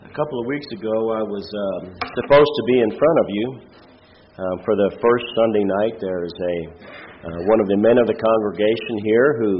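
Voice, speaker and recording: Nasal, congested man preaching, fairly low voice. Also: dull audio, slight background noise. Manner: halting, hesitant, plain-spoken. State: has a head cold. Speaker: lay preacher at a church. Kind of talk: informal sermon to a congregation. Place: church, speaking before a congregation.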